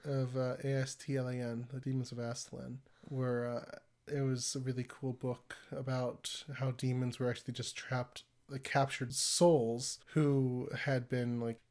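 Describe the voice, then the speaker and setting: Guy with a stuffy cold voice, rambly podcast chat, gets animated. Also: medium pitch, a little slow. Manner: rambling, animated storytelling with laughs. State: congested from a cold. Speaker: podcast host. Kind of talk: casual conversational podcast.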